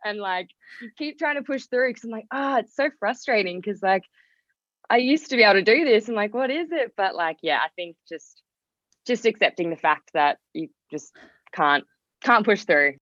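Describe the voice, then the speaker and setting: A woman's voice: mid-range voice, lively intonation, moderate pace, fairly bright tone, dry recording, muffled recording. A young woman, chatting informally as a podcast guest, with lots of "like" and "kind of". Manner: informal, hedging with frequent "like" and "kind of". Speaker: podcast guest, music artist. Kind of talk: casual chat.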